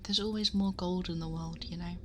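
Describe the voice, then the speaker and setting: Soft, breathy, possessive dragon girl played by a woman. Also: lowish voice, steady tone, a little hiss or hum, lightly acted. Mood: tender, fond. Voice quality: soft, breathy, often whispered. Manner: intimate, gently scolding, fussing, deliberate. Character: possessive, worried, protective female dragon girl guarding her hoard. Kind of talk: ASMR roleplay. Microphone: close binaural microphone.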